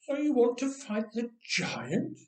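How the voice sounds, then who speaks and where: A man's voice: very high-pitched, sing-song, takes it slowly, voice pushed up, exaggerated voice. A man voicing an amused, condescending king in a storybook, with a grinning, doubtful manner. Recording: roomy, echoing room sound.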